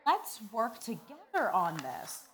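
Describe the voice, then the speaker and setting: A sharp, brash newspaperwoman from an old radio play. Her voice sits high and leaps around in pitch. She talks in snappy slang with a sardonic edge. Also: wildly swooping pitch, slow, full character voice. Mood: pushy, confident. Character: brash, wisecracking woman newspaper reporter, 1940s style.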